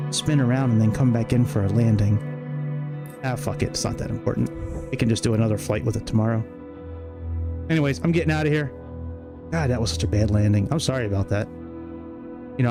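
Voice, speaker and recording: A man's voice: medium pitch, fairly quick, pauses often, music in the background. A man giving casual, grumbling, self-deprecating livestream commentary on a flight sim game, swearing freely.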